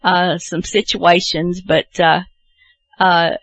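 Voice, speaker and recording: A woman's voice: lowish voice, little pitch movement, slow, a bit bright, no echo. A woman hosting a radio show, chatting casually in a rambling, self-deprecating way as she introduces programs.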